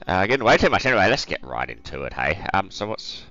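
A man with a croaky voice hosting a let's play, casually thinking aloud over a strategy game with lots of ums. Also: sits low, big pitch swings, fast talker, bright, forceful tone, dull audio, faint music behind.

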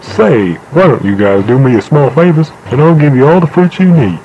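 A man's voice: medium pitch, lively intonation, normal speed, dark tone, a little hiss or hum, natural voice. A man voicing a folksy cartoon farm animal, in muffled lo-fi retro game audio. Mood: persuasive.

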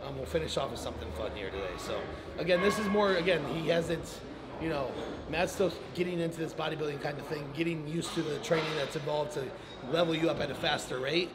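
Young male coach, fast instructive patter, recorded on a camera mic over heavy gym noise. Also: on the high side. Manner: encouraging, instructive, quick-talking. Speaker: bodybuilding coach. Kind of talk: casual gym coaching talk. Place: large indoor gym. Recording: gym ambience noise behind.

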